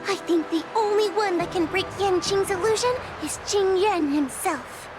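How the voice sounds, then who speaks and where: A woman's voice: very high voice, moderate pace. An actress playing a timid, polite and worried little girl in an anime-style video game. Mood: anxious, urgent. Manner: anxious, polite. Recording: loud game music behind the voice.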